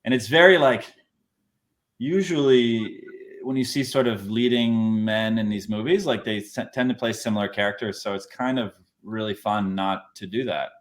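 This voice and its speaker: A man's voice: lowish voice, normal speed. A young adult man, an actor, chatting in an interview in an easygoing, hesitant way, with many fillers. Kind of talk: casual interview chat.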